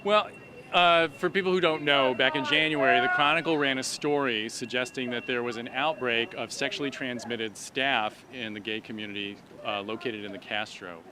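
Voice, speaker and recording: A man speaking as a doctor and activist, explaining an issue in measured, articulate phrases during a street interview. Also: medium pitch, steady tone, a crowd in the background. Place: outdoors on an urban street at a protest. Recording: noisy city street with traffic. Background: street and traffic noise.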